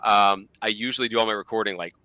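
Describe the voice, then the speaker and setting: Boston-accented middle-aged guest, lower voice, dry close sound, casual rambling chatter. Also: male voice, fairly even intonation, quick pace, bright, forceful tone, clear, resonant tone, dry recording. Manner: mumbly, self-deprecating, rambling. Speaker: guest, comic collector YouTuber. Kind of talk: casual interview chat.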